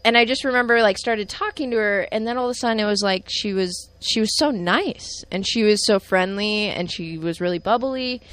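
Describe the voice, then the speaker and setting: A woman's voice: medium pitch, fairly quick, hardly any pauses, a bit bright, clean tone. A woman in her twenties chattily recounting how she met her partner, in a casual conversation. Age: 20s. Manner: chatty storyteller, many fillers. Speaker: guest.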